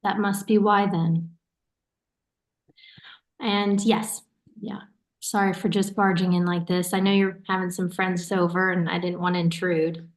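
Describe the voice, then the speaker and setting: A woman's voice: on the low side, level tone, measured pace, long pauses, close to an everyday voice. Woman playing a caring, teasing sister. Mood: concerned. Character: caring, teasing, suspicious sister of a young man. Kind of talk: naturalistic play reading. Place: remote online staged reading.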